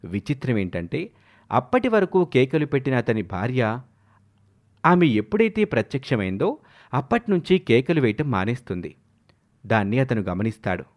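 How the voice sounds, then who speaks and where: A man's voice: mid-range voice, very animated, moderate pace, long pauses. A man narrating a scripted moral story in a dramatic storytelling style.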